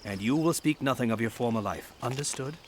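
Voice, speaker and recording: A man playing a stern knight who guards a young prince, with measured, commanding, old-fashioned speech in an audio drama. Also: mid-range voice, slight background noise, close to an everyday voice. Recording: loud music under dialogue.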